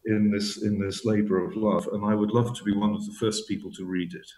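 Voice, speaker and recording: A man with a lowish voice speaks thoughtfully as an interview guest. He stumbles and repeats words while hunting for what he means. The room around him rings with echo. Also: level tone, darkish tone. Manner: halting, repeats words, searching for phrasing. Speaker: author guest. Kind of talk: reflective interview talk.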